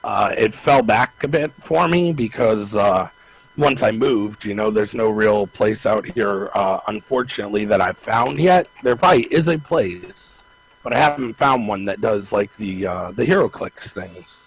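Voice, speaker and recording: Brief: low, gravelly male podcast co-host, rambling and hesitant. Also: measured pace, low-quality recording. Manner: rambling, hesitant, many restarts. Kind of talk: casual chat.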